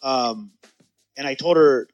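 A man's voice: mid-range voice, slow, muffled recording, soft background music. A man hosting a casual podcast conversation, earnest and agreeable, restarting his sentences often. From San Diego area, US. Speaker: podcast host.

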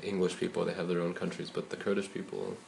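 Male interviewer, deep voice, monotone, brief prompting questions. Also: fast talker, vocal fry, a little hiss or hum. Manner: brief backchannel murmurs, short prompting questions.

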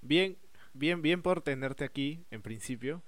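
A man, co-hosting a podcast, talking slowly and earnestly with a touch of humor. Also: fairly high pitch, lively intonation, fairly bright tone, no echo. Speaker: podcast co-host. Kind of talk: casual chat.

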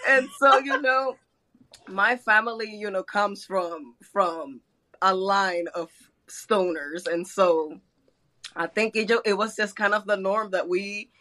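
A woman's voice: mid-range voice, slow pace, bright, forceful tone. A young woman from Mexico, a guest artist chatting casually, giggling and rambling as she talks. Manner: giggly, rambling, laughs often. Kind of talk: casual chat.

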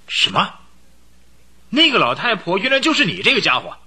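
An actor playing an arrogant young count who secretly runs a gang, sneering and thuggish in a radio drama, with a rough edge to his voice. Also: sits high, wildly swooping pitch, moderate pace, sharp tone, exaggerated voice. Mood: taken aback. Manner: sneering, scornful, thuggish speech. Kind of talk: Chinese radio drama acting.

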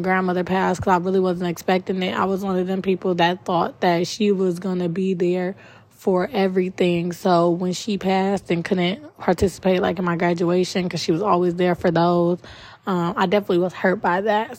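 A young woman in her twenties with a raspy voice, just up from a nap, hosting her own podcast in a chatty, rambling monologue with many ums. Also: fairly low pitch, a little mellow. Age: 20s. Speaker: podcast host. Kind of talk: casual solo podcast monologue.

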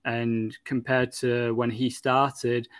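A man's voice: mid-range voice, little pitch movement, slow pace, no echo. A man from Manchester, chatting casually about football, rambling with many fillers and restarts. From Manchester, England. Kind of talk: casual chat.

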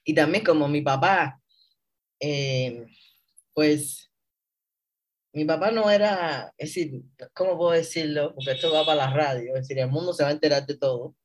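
A man in his thirties with a Cuban accent, a guest on a radio interview, speaking reflectively with many pauses and fillers while he searches for words. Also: on the high side. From Cuba. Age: 30s. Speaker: guest, historian.

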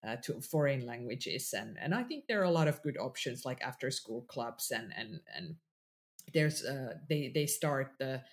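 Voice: medium pitch, sing-song, normal speed. Reflective speaker. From Finland. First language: Finnish. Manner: reflective, with frequent restarts. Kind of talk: casual conversation.

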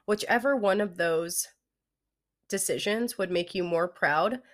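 A woman's voice: fairly low pitch, measured pace. A young woman with a head cold, her voice nasal and congested, chatting casually as a fitness coach giving advice on her podcast with lots of "like" and "you know".

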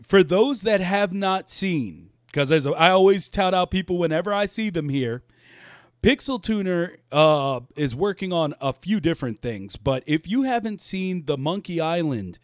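Higher-pitched laid-back male stream host. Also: animated, normal speed, no echo, clean studio sound. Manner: laid-back, laughing, repeats words often. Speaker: podcast host. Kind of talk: casual live-stream chat.

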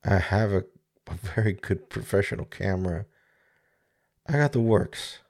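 A man in his forties from the Dominican Republic, hosting a reflective solo podcast. He talks in a halting, searching way, with many pauses and restarts, into a studio microphone. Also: fairly low pitch, animated, darkish tone. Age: 40s. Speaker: podcast host. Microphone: studio microphone with mixer.

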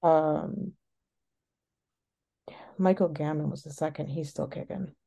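A sniffly woman chats casually as a podcast co-host, rambling and frank. Her voice sits on the low side with plenty of gravelly fry, and she takes her time getting the words out. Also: steady tone, takes it slowly, mellow. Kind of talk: casual chat.

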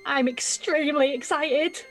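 Excitable, mouthy teenage girl. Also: high-pitched, measured pace, soft background music. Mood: excited. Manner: slangy, blurting, eager. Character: excitable, mouthy nineteen-year-old girl learning beekeeping, insecure. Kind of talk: audio drama acting.

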